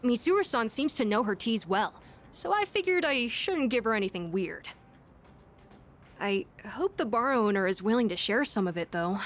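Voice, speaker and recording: A woman playing an earnest, gentle young schoolboy in a video game, speaking politely and thoughtfully with some hesitation. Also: on the high side, lively intonation, moderate pace, close to an everyday voice. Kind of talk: English video game voice acting.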